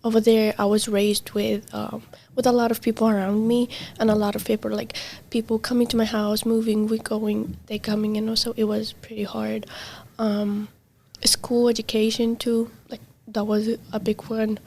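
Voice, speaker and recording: Soft-spoken young immigrant woman, halting. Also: a little high, little pitch movement, gravelly. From Middle East. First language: Arabic or Kurdish. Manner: halting, many fillers and soft trailing words. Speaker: interviewee. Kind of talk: casual conversation. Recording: faint background noise.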